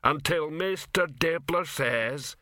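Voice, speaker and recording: A man voicing a big, obedient, slow-witted male troll henchman in an audiobook, proud and plodding in his speech. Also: on the high side, sharp tone, no echo, clean studio sound, voice pushed up. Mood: dutiful. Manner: slow, plodding, simple-minded. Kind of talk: audiobook character voice.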